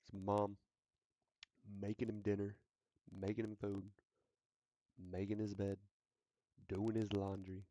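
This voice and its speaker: A man's voice: fairly low pitch, completely flat delivery, slow, long pauses, mellow, dry recording. A man hosting a casual sports talk show, joking and hyped, repeating his lines for effect. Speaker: sports podcast host.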